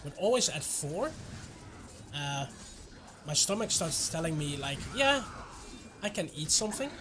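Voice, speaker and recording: A Dutch man, a native Dutch speaker, chatting casually in English with his chat while streaming a game, relaxed and often chuckling. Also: a little high, unhurried, over music. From Netherlands.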